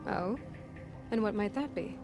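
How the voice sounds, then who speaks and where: A woman playing a tough young game huntress, wry and self-assured. Her voice is medium-pitched and dry, with faint music behind it. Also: a little slow, lightly acted. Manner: dry, sardonic. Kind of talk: cinematic game dialogue.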